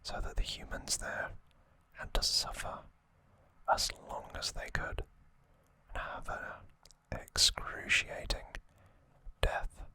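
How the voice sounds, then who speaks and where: A man whispering a casual ASMR recap in short, hushed, unhurried phrases. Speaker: ASMR host.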